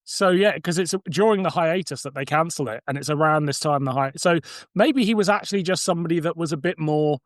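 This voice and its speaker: A man with a British accent in relaxed, informal podcast conversation. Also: on the high side, a little fast, dry recording, studio quality. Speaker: podcast host or guest. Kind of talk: casual chat.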